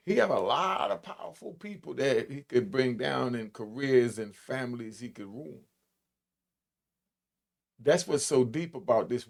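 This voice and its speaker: A man's voice: medium pitch, a little mellow. A man giving casual reaction commentary as a host, talking emphatically to viewers and posing questions.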